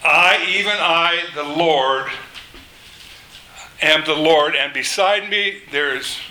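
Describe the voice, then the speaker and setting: Slow, emphatic older man preaching. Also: a little high, a little hiss or hum, poor audio. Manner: slow, emphatic delivery, rises when quoting scripture; loud voice. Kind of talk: sermon with scripture reading.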